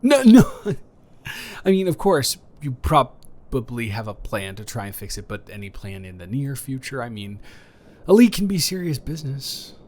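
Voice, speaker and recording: A man's voice: mid-range voice, big pitch swings, moderate pace, darkish tone. A man playing an awkward, friendly neighbor in an improvised roleplay audio, stammering and rambling hesitantly. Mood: sympathetic, nervous.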